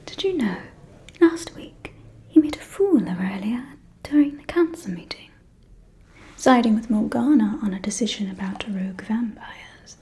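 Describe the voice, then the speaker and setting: A woman playing a gossiping, catty aristocratic vampire lady in a whispered ASMR roleplay, with a breathy, confiding, arch delivery. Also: mid-range voice, expressive, warm tone, close to an everyday voice. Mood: conspiratorial, catty. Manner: slow, confiding, arch, drawling.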